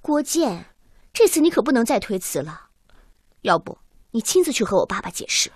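An actress playing a caring, teasing young woman researcher in a Chinese radio drama, expressive and affectionate. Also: fairly high pitch, normal speed, slightly rough, dry recording, studio quality. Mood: pleased, insistent. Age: young adult.

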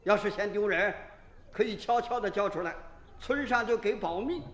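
A high-pitched, blustering village chief. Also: male voice, normal speed, a bit bright. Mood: stern. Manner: folksy, exasperated, roundabout. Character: blustering rural village head haranguing a meeting. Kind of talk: Chinese radio drama acting. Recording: roomy echo, faint music behind.

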